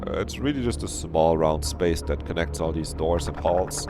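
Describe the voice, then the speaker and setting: A man's voice: extremely low pitch, normal speed. A man voicing a hesitant visual novel character who fumbles through explanations. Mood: flustered explaining. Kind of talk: acted visual novel dialogue reading. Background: background game music.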